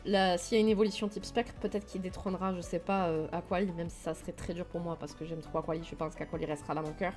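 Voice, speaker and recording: A woman's voice: on the low side, fairly even intonation, fast talker, hardly any pauses, a little mellow, faint music behind. A young woman from Toulouse with a southern French accent, chatting casually and enthusiastically with her stream viewers, with plenty of fillers. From southern France, Toulouse. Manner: chatty, enthusiastic, many fillers, talks to chat. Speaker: livestream host.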